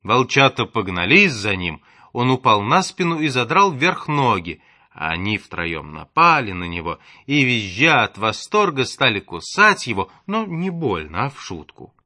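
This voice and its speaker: Bright-voiced man narrating a storybook, dry sound. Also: medium pitch, very animated, unhurried, no echo. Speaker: audiobook narrator. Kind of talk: expressive scripted storybook narration.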